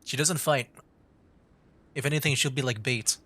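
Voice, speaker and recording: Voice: medium pitch, moderate pace, background noise. A streamer giving casual gameplay commentary in a chatty way, reacting aloud while playing. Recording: music behind.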